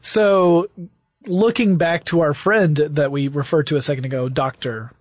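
A man's voice: fairly high pitch, steady tone, normal speed, no room sound. A man co-hosting a podcast, telling history in a casual, wry, conversational way. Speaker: podcast co-host.

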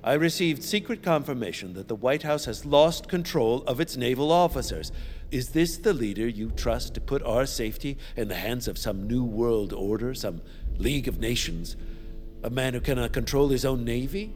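An actor playing a smug, scheming senator in audio fiction, pompous and needling like a practised orator. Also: male voice, mid-range voice, lively intonation, moderate pace, in a large hall, faint music behind, close to an everyday voice. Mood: outraged oratory. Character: smug, scheming senator, mocking political rival.

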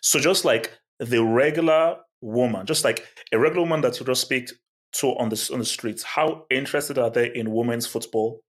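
A man's voice: medium pitch, normal speed. A man co-hosting a casual sports chat show, asking pointed questions and arguing his view emphatically. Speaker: podcast co-host.

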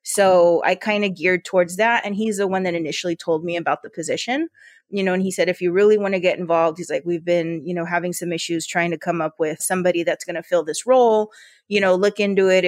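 A woman's voice: on the low side, fast, no echo. Chatty American woman in a dry room. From Yuma, Arizona, US. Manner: chatty, informal, often quotes dialogue. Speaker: interview guest, port authority leader. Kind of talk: conversational interview, personal anecdotes.

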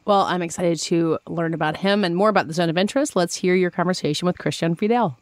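Fast-talking upbeat podcast co-host. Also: mid-range voice, dry recording. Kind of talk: casual chat.

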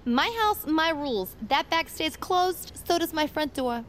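A woman's voice: high-pitched, unhurried, a bit bright, a little hiss or hum. An actress playing a brash, foul-mouthed, volatile girlfriend in an audio drama, with a blunt, mocking, bossy delivery.

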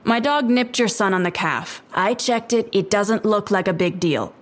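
An actress playing a defiant neighbor who is sarcastic and defensive, in an audio drama. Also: female voice, medium pitch, animated, moderate pace, close to an everyday voice. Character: defiant, sharp-tongued female neighbor and dog owner.

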